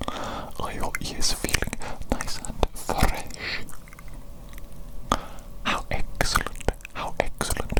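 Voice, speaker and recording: A woman voicing an evil, doting mad doctor in a breathy ASMR whisper, with formal, repetitive phrasing. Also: close to an everyday voice, poor audio. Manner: slow, repetitive, formal old-fashioned phrasing. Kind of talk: whispered ASMR medical roleplay.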